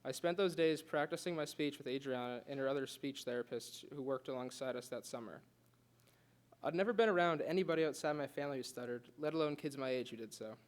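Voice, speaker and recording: Young male student, quick delivery, earnest speech with a light stutter. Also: medium pitch. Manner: earnest, with occasional stutters and restarts. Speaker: student giving a class speech. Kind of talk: prepared speech read aloud.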